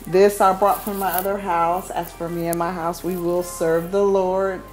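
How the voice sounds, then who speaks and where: A woman's voice: on the low side, flat delivery, normal speed, darkish tone, soft background music, poor audio. A woman in her thirties walking through her house, cheerfully showing off the decor. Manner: upbeat, gushing over decor. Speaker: vlogger giving a home tour. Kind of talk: casual home tour narration. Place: inside a house, walking room to room.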